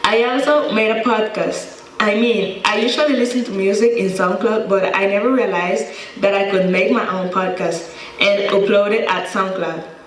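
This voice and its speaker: A woman's voice: medium pitch, fairly even intonation, moderate pace. A young woman from Costa Rica with a Costa Rican accent, a native Spanish speaker, giving a prepared student presentation. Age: young adult. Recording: echoey room, slightly distant mic.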